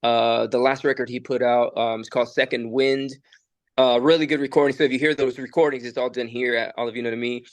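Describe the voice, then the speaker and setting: A man's voice: medium pitch, steady tone, fairly quick, no echo. A young man from El Salvador, a musician and studio producer, chatting casually in a recording studio and talking in a loose, rambling way with lots of fillers and restarts. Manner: laid-back, rambling, many fillers and restarts. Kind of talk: casual podcast conversation.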